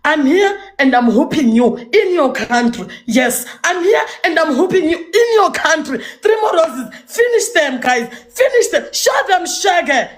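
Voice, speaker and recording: A woman's voice: very high-pitched, big pitch swings, a little slow, few pauses. A woman with a Zimbabwean accent in a defiant, taunting social media rant, repeating her phrases emphatically.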